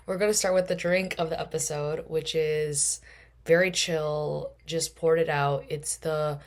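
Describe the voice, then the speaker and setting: A young woman in her twenties with a hoarse, worn-out voice, hosting a casual solo podcast and rambling along with lots of fillers. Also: sits low. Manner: rambling, chatty, many fillers. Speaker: podcast host.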